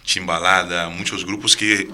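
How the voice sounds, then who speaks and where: Man, Brazilian accent, Portuguese native speaker, soft and trailing off, low pitch, bright tone. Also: flat delivery, a little slow. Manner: soft-spoken, trailing off, hesitant restarts. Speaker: musician guest. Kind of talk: radio interview.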